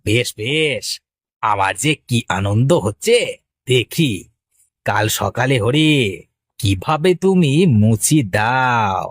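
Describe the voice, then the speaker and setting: A man playing a scheming, jealous village potter with a sly, needling way of talking, in an animated moral story. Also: mid-range voice, normal speed, dry recording, studio quality, lightly acted. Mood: gloating. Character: scheming, jealous male village potter, falsely sympathetic rival.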